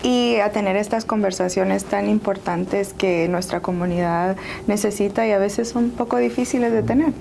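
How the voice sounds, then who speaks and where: A woman's voice: mid-range voice, moderate pace, vocal fry, few pauses, warm tone. Salvadoran woman guest, writer and life coach, reflective storyteller, slight background hum. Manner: earnest, reflective, occasional laugh. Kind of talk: personal storytelling in interview.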